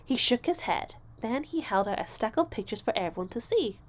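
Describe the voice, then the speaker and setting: A woman narrating a children's story aloud, expressively, with deliberate phrasing and dramatic emphasis. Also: fairly high pitch, lively intonation. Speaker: storybook reader.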